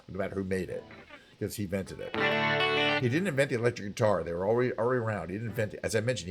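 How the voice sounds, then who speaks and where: A man streaming from a home setup who talks casually and rambles through technical points, often stopping to correct himself. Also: low-pitched. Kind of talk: singing with guitar, then casual chat. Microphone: headphones and studio mic. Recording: guitar music underneath.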